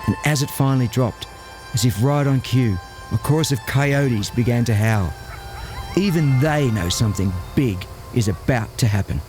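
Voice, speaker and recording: A man reading scripted narration in a measured, descriptive way. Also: mid-range voice, over music, poor audio.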